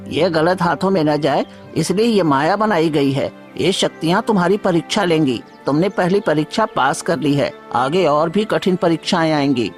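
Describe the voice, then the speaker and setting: A man's voice: on the high side, lightly acted. A man voicing a wise, magical talking deer in a Hindi moral story, explaining things solemnly.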